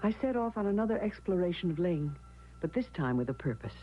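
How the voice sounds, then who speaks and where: An actress playing an anxious, devoted young wife in an old-time radio drama, with earnest, dramatic delivery. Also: female voice, lowish voice, sing-song, quick pace, over music. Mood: brooding narration. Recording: dull vintage radio recording.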